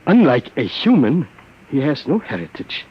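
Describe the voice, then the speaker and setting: An actor playing a nervous, secretive elderly professor and inventor, stammering and fussy, in 1950s radio drama style. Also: male voice, mid-range voice, wildly swooping pitch, moderate pace, breathy edge. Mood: proud. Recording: dull old radio broadcast with hiss.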